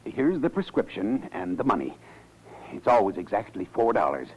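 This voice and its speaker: Nervous fussy gent, self-important, 1940s radio drama, hesitant, dull old recording. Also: male voice, lowish voice, sing-song, moderate pace, husky. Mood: fussy, polite. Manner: hesitant, repetitive, genteel. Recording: muffled old radio broadcast.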